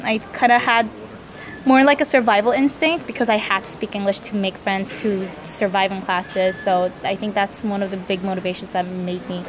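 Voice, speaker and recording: A woman's voice: mid-range voice, a little hiss or hum. A young woman from Korea, a native Korean speaker, answering interview questions casually, hesitant, with many fillers and self-corrections. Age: young adult. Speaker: interviewee, student.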